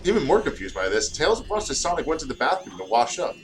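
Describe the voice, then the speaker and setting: A man's voice: on the high side, big pitch swings, fast, slight background noise. A tired man co-hosting and reading fan fiction aloud in a casual way, stumbling over words and adding joking asides. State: tired, short of sleep.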